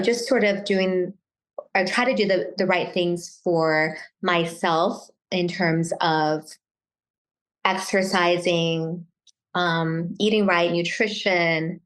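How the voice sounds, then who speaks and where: A middle-aged woman born in Taiwan, talking casually as an interview guest, often pausing and restarting her sentences. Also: lowish voice. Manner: hesitant, many restarts and fillers.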